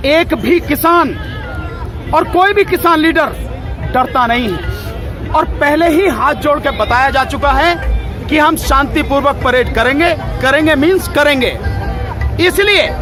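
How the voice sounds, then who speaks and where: Impassioned man at an outdoor protest, high-pitched. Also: a little slow, over music. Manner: forceful, rhetorical, repetitive emphasis. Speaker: protest supporter interviewed. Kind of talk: impassioned street interview. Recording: loud crowd outdoors.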